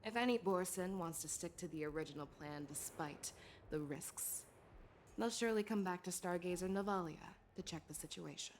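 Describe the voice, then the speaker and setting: A woman voicing a composed, shrewd general in a video game, with a measured, commanding, analytical manner. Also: on the low side, slight background noise, natural voice. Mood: calm, calculating.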